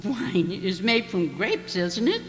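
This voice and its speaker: A woman's voice: medium pitch, sing-song, takes it slowly. A woman playing a prim, fretful carrot lady in a satirical radio comedy, hesitant and disapproving. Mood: doubtful. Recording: echoey hall, faint noise.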